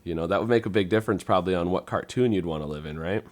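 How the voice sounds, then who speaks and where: A man's voice: sits low, very fast. A man chatting casually as a panelist on a podcast.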